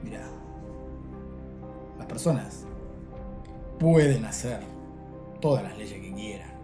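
An actor with a Rioplatense Argentine accent playing a menacing, deliberate man who lectures in rhetorical questions in a film drama. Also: fairly low pitch, expressive. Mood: menacing, lecturing. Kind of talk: film drama acting, philosophizing monologue. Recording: echoey film scene with music behind.

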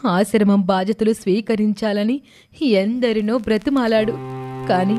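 A woman voicing a grave, kindly schoolmaster's widow in a cartoon story, measured and earnest. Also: mid-range voice, fairly even intonation, warm tone, soft background music, natural voice. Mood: earnest, sorrowful.

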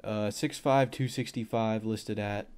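A young man with a cold and a voice deeper than usual, casually rambling through a football film breakdown with plenty of fillers. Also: fairly even intonation, a little slow, darkish tone, clean tone. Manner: rambling, offhand, thinks aloud with many fillers.

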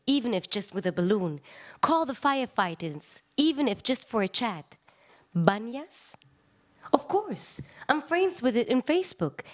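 A woman actor giving an expressive live reading of a literary text, moving between conversational asides and declamation. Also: fairly high pitch.

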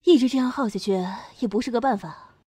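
A young woman playing a composed high school student leader in an amateur audio drama. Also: quick pace, dark tone, breathy edge, dry recording, studio quality. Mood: composed, curious.

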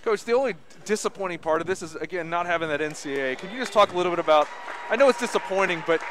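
A man's voice: high-pitched, animated, rapid-fire, crowd noise, background noise. A man interviewing a coach after a game, asking long, rambling questions. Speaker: broadcast interviewer.

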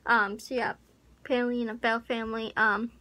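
A woman's voice: a little high, little pitch movement, fairly quick, pushed and bright. A young woman vlogging in a casual chat, rambling and unsure, with lots of fillers, recorded close on a webcam in a small bedroom. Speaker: YouTuber. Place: small pink bedroom with air conditioner. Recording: home recording with audible AC hum.

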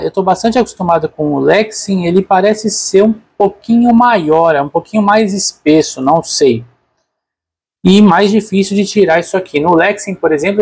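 Higher-pitched Brazilian man, slightly husky. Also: darkish tone. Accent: Brazilian Portuguese. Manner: informal, thinking aloud. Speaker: product reviewer. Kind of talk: casual unscripted unboxing narration.

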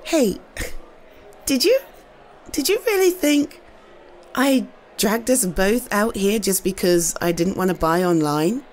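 A woman acts out an affectionate British girlfriend in an intimate audio roleplay. She takes her time, speaking softly at a medium pitch. Her delivery is tender and a little shy, with halting repeats as she addresses her partner. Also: expressive. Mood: gentle, affectionate.

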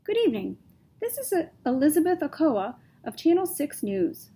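A woman voicing a brisk adult female TV news reporter with a polished, upbeat on-air delivery. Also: sits high, big pitch swings, dark tone, pitched above the performer's own voice. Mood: bright.

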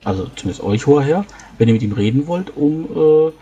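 A man improvising in-character talk as a player in a tabletop game, halting and thinking aloud. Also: fairly high pitch, expressive, mellow, husky, muffled recording. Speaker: tabletop role-playing player.

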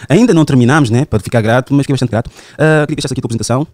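A man's voice: mid-range voice, a little mellow, no room sound, clean studio sound. A man hosting a podcast, teasing his guest and often breaking in. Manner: teasing, quick to interrupt. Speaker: podcast host. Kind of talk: casual podcast conversation.

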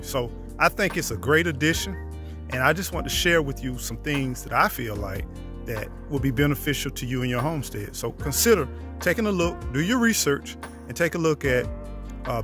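A man, casually vlogging about his homestead outdoors, filmed on a handheld camera at arm's length. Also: a little high. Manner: relaxed, conversational, drops to near whisper at times. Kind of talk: casual walking vlog. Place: outdoors on a wooded rural homestead.

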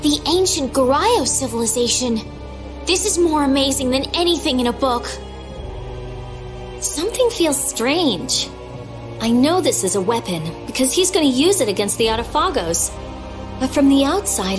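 High, earnest fantasy girl. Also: big pitch swings, moderate pace, over music. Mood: wondering. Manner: earnest, questioning. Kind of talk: video game voice acting.

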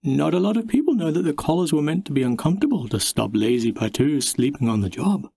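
A man voicing a bright, obliging woman who breeds dogs, explaining things helpfully in an audiobook story. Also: fairly high pitch, very animated, fast, creaky, slightly rough, no echo, studio quality, voice pushed up, heavily acted. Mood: informative. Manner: bright, informative.

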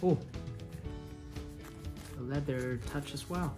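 Halting man talking at an unhurried pace. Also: fairly high pitch, over music. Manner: halting, searching for words. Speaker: vlogger. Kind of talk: casual unboxing and first-use demonstration. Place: room at home.

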